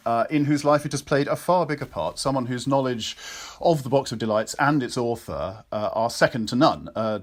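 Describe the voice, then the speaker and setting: A man with a British accent hosting an interview, speaking in an effusive, articulate way as he introduces and questions a guest. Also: medium pitch. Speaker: interviewer and actor. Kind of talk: warm introduction and interview, semi-prepared.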